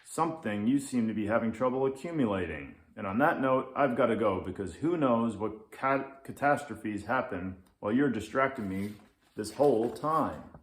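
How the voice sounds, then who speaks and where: A man's voice: medium pitch, animated, moderate pace, natural voice. A man voicing a grumpy, harried male lynx in a children's story, with an emphatic, scolding, impatient manner. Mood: annoyed. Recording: roomy echo.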